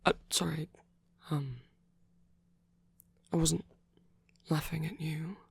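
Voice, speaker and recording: A performer voicing a gentle, slightly grumpy, possessive supernatural lover in an ASMR romance roleplay, speaking softly and tenderly with hesitant pauses and frequent whispering. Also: medium pitch, steady tone, no room sound, heavily acted. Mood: gentle, concerned. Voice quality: soft, breathy, often whispered. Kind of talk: ASMR romance roleplay, intimate one-sided dialogue.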